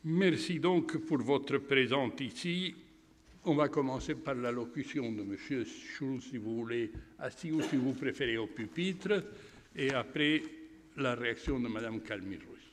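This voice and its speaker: A man hosting an event, giving a polite formal introduction with occasional pauses and fillers. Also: medium pitch. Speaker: event host. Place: lecture hall. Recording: reverberant hall with faint noise.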